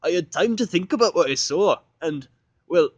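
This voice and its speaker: A man's voice: high voice, lively intonation, moderate pace, no room sound, pitched above the performer's own voice. A man voicing a sweet, earnest young female pony who talks hesitantly and picks words with care. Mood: careful, earnest. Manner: tentative, choosing words carefully.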